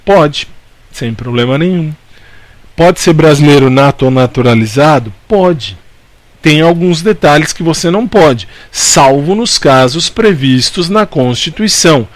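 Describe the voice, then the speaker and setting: A man's voice: a little high, expressive, measured pace, no echo. Brazilian man teaching, close dry sound. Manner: didactic, repeats key points, asks and answers own questions. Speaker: law teacher. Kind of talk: informal exam-prep lecture.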